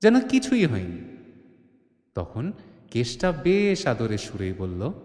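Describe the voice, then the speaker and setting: Animated male storyteller, reverberant. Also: medium pitch, slow pace. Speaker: narrator. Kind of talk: dramatic scripted storytelling for a comic mystery audio drama. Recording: roomy, long studio reverb.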